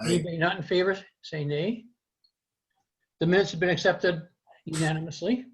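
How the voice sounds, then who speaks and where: An American man chairing an online committee meeting in an informal, halting way, with muffled sound. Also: on the high side, fairly even intonation. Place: remote video meeting.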